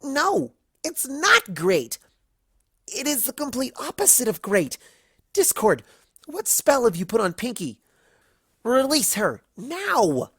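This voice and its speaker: A woman voicing a bossy, highly strung female cartoon pony with an emphatic, demanding manner. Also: big pitch swings, slow pace, a bit bright, slightly rough, no room sound, studio quality, exaggerated voice. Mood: alarmed, demanding.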